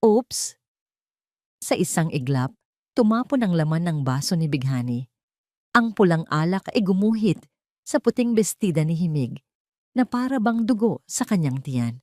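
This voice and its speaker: A woman's voice: on the low side, big pitch swings, normal speed, a little mellow, no room sound, clean studio sound. A woman with a Filipino accent narrating a scripted story in an emotive, theatrical way. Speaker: storyteller narrating an audio drama.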